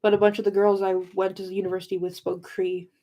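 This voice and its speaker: A woman's voice: medium pitch, flat delivery, quick pace, warm tone. A young woman chatting casually with live viewers, informal and rambling as she drifts between points. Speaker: livestream host of a book discussion.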